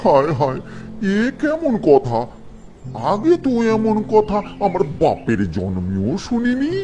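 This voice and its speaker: A man's voice: sits high, huge pitch swings, takes it slowly, warm tone, faint music behind, full character voice. A man voicing a cowardly, gullible tiger in a children's fairy-tale cartoon, full of muttered exclamations. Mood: frightened, bewildered.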